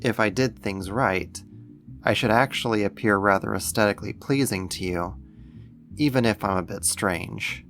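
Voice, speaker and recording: Guy playing a gentle alien being cooing at his pet, lowish voice, asmr roleplay, tender n coaxing, bit of music under it. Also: moderate pace, vocal fry. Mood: soothing. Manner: soothing, coaxing, tender, mildly condescending. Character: gentle, doting male alien-like being soothing a new pet. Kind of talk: ASMR roleplay, soft-spoken.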